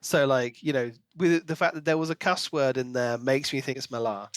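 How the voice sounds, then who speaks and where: A man's voice: mid-range voice, brisk, slight background noise. A man co-hosting a podcast, chatting casually and enthusiastically about a book. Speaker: podcast co-host.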